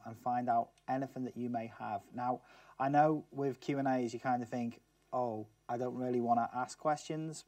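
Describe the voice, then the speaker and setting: A man's voice: medium pitch, a little mellow, no room sound. Chatty Liverpool man, miked from afar. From Liverpool, England. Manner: chatty, asks the audience questions. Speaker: guest speaker. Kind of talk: talk to a student audience. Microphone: far camera mic, no mic seen. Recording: distant, dry studio sound.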